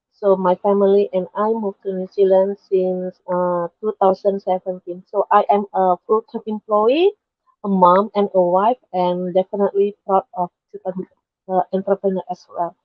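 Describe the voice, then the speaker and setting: Woman from Indonesia, mid pitch, halting speech, video call. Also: little pitch movement, no room sound, phone-quality sound. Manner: halting, searching for words. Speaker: entrepreneur and panelist. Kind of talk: casual group video call.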